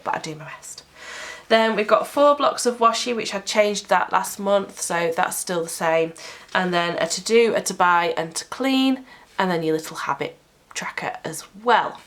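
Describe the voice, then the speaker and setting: A woman's voice: on the low side, a bit bright. A British woman with a cold, chattily walking through her planner sticker designs in a product vlog. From United Kingdom. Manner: chatty, explaining item by item. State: sniffly with a cold. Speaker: sticker shop owner.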